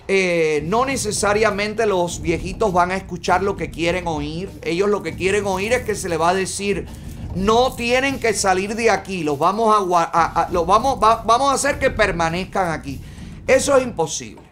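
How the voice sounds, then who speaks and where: A man's voice: high voice, normal speed, fairly bright tone, a little hiss or hum. A man in his forties with a Cuban accent, hosting a studio commentary show and asking indignant rhetorical questions. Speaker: host. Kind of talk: opinion commentary. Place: studio with yellow backdrop.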